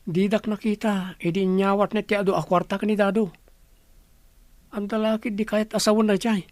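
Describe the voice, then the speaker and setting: A man's voice: sits high, flat delivery, moderate pace, darkish tone, no echo, lightly acted. A man playing a scheming, suspicious villager in a conspiratorial radio drama style. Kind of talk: Ilocano radio drama acting.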